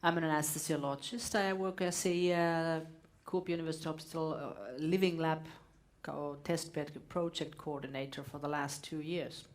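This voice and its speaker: A woman introduces herself as an anesthesiologist on a panel. Her voice sits very low, and she pauses and corrects herself. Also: steady tone. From Finland.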